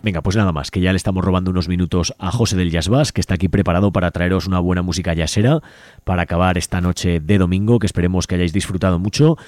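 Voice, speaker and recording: A man's voice: low-pitched, quick pace, a little mellow, no echo, faint music behind. A man with an accent from Spain, hosting a community radio show and reading out event listings. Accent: Spanish from Spain.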